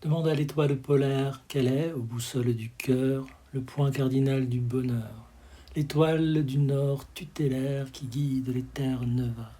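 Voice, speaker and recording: A man with a breathy, husky voice reciting poetry expressively, in measured dramatic phrases that often sink to a hush. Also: mid-range voice, steady tone. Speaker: poetry reader.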